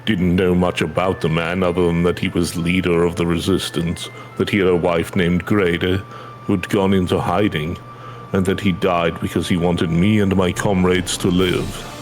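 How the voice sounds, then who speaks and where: Man as a deep, weary ex-soldier. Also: flat delivery, moderate pace, soft background music, lightly acted. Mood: resigned, confessional. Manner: plain-spoken, subdued. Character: weary, earnest male ex-soldier in hiding, resigned. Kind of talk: video game dialogue read aloud.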